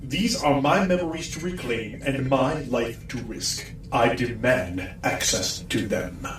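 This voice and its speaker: A man's voice: medium pitch, slow pace, a bit bright, slight background noise. Casting: male actor as menacing robot warrior, formal and commanding, drenched in echo, distant. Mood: demanding, threatening. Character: imperious robotic warrior with a glowing face, demanding his memories. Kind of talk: audio drama acting. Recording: echoing, distant-sounding processed voice.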